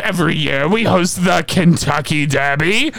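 A man's voice: fairly high pitch, moderate pace, gravelly, fairly bright tone. A tipsy young man on a comedy podcast, talking in a fake posh British accent with stiffly formal wording. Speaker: podcast co-host. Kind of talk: drunken comedy podcast banter. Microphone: podcast studio mic.

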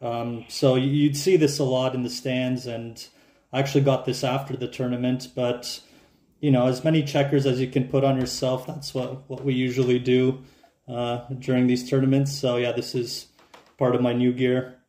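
A relaxed man who grew up speaking Croatian, chatting as a podcast guest. He talks thoughtfully and pauses often with fillers. His voice rings a little in a roomy space. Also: mid-range voice. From Canada. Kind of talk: casual podcast chat.

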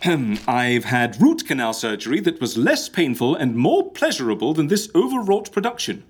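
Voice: medium pitch, very animated, moderate pace, slightly rough. An actor playing an exasperated, nosy modern interviewer in a comic audio drama, blunt and colloquial.

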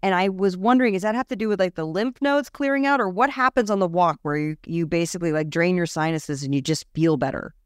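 A woman co-host asks question after question in a loose, chatty way, full of "like" and "you know". Her voice is smooth and clear. The sound is close and dry. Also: on the low side, quick pace, few pauses, no room sound. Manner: curious, chatty, lots of questions and fillers. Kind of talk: casual health talk.

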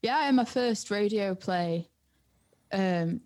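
A woman's voice: medium pitch, slow pace, a little mellow. A young woman, chatting casually and colloquially as an interview guest. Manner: informal, colloquial. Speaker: music producer and DJ guest. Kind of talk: casual chat.

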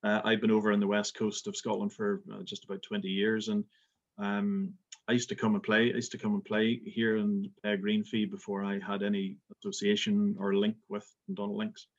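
A man's voice: lowish voice, completely flat delivery, fairly quick, muffled recording. A middle-aged man from Belfast with a Belfast accent, a golf resort manager answering interview questions with many fillers and restarts. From Northern Ireland.

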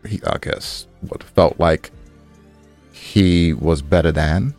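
Low-voiced man in casual podcast chat. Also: a little mellow, dry recording, soft background music. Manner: informal, halting, searching for words. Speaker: podcast co-host and audio drama producer.

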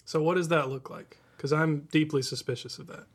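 A man's voice: a little high, fairly even intonation, a little fast, slightly rough. An American man co-hosting a podcast, talking informally and probing the discussion. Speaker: podcast co-host. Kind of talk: conversational discussion.

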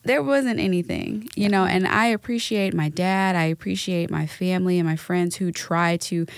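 A woman's voice: fairly low pitch, normal speed, gravelly, no room sound. Reflective woman on a podcast. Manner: reflective, gives gentle advice with many fillers. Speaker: guest. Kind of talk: podcast conversation.

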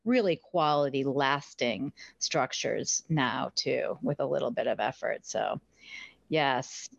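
A middle-aged American woman telling her story conversationally as an interview guest, in an animated way. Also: rumbling low, slow pace, gravelly. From United States. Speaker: interview guest, company founder. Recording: roomy, slightly dull sound.

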